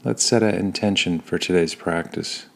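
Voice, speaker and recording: A man leading a guided meditation in a calm, soothing manner, pausing between short phrases. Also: low voice, creaky. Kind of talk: guided body scan meditation.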